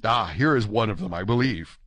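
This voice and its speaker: Male reader as courtly storybook musketeer, wry and formal, fairly deep, measured, in a dry recording. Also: animated, a bit bright, dry recording. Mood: gracious, approving. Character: gracious, dignified, wry wounded gentleman musketeer. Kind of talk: audiobook character dialogue.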